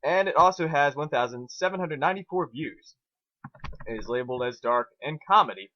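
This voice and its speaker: A man's voice: fairly high pitch, expressive, brisk, muffled recording. A man co-hosting an informal dramatic reading, talking and narrating in an amateur, casual way. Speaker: reader and co-host.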